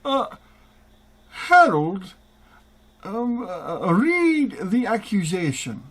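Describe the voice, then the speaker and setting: A woman's voice: medium pitch, very animated, extremely slow. A woman playing a flustered, giggly monarch in a radio play, muddled and stammering as they preside over a trial.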